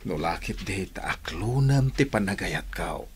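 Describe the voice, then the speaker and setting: An actor with an Ilocano accent playing a lovestruck, showy suitor in a comedy radio drama, with a husky voice. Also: male voice, deep voice, expressive, measured pace, a little hiss or hum. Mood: earnest wooing. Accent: Filipino, Ilocano. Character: lovestruck male suitor, jokey and showy with his pal.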